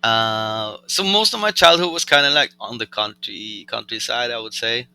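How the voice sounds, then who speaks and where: A young man with a Norwegian accent, a rapper being interviewed, chatting in a relaxed, rambling way with lots of fillers. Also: medium pitch, normal speed, vocal fry, clear, resonant tone, faint music behind. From Norway. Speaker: rapper guest. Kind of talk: casual conversational interview.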